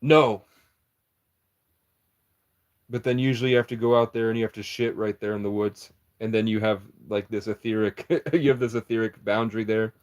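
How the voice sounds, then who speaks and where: Man, lowish voice, livestreamer riffing at chat, conspiratorial and meandering, cuts himself off a lot. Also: steady tone, normal speed.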